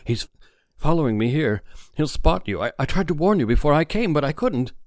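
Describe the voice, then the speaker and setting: A man voicing a frightened, hunted man in an audiobook story, agitated, halting and breathless. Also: a little high, sing-song, no echo, clean studio sound, pitched above the performer's own voice. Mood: panicked.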